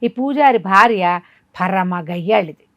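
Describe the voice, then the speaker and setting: A woman's voice: medium pitch, measured pace, no room sound, muffled recording. A woman telling a folk tale conversationally to a listener, acting it out as she goes. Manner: animated retelling, acting out quoted dialogue. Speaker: storyteller.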